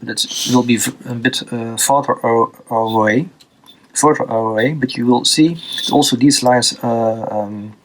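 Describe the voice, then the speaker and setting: Man, Dutch accent, art teacher, unscripted tutorial, halting, many fillers, middle pitch. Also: low-quality recording. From Belgium. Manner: halting, many fillers and restarts while drawing.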